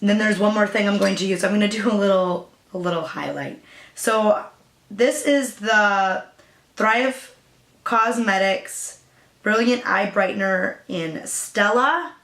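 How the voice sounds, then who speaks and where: Raspy young woman doing a makeup vlog, gravelly voice, roomy echo. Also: medium pitch, unhurried, fairly bright tone. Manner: chatty, hesitant, with fillers and apologies. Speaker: beauty vlogger. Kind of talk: casual talk-through tutorial.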